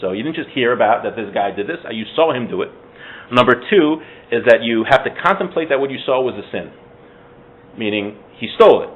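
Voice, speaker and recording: A man's voice: mid-range voice, expressive, fairly quick. A man giving an informal religious class as its teacher, conversational, explaining texts with everyday examples. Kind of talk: informal religious lecture with Hebrew source readings translated. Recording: muffled phone-like audio with faint background noise.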